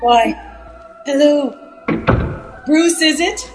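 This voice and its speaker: A woman's voice: high-pitched, extremely slow, music in the background. A woman playing a flirtatious producer's wife with an affected, coy manner in an improvised radio comedy. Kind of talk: improvised classic radio drama parody.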